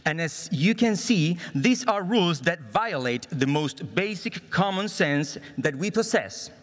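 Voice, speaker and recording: A man from Chile with a Chilean Spanish accent gives a formal, prepared political speech in English as a conference speaker, earnest and deliberate, stumbling slightly on some words. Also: fairly high pitch, lively intonation. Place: conference hall in Budapest. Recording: reverberant large hall sound.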